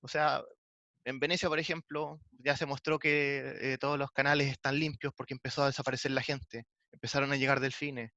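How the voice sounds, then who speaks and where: A man from southern Chile with a Chilean accent, talking in an online panel discussion in a halting way, with frequent restarts and filler sounds. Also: medium pitch, little pitch movement, pushed and bright, dry recording. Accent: Chilean Spanish. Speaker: discussion participant. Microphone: video call. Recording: dull, dry lo-fi video call audio.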